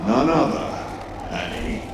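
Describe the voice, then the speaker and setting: A man's voice: on the high side, sing-song, measured pace, background noise. An actor playing a menacing possessed man merged with an alien horror, taunting and grandiose, in a sci-fi horror audio drama. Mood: sinister taunting. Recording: roomy echo over music.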